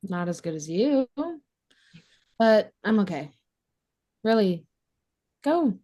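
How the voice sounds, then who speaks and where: A woman's voice: medium pitch, animated, slow, warm tone. A woman playing a naive, pampered, eager young woman in a sitcom table read. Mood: curious, innocent.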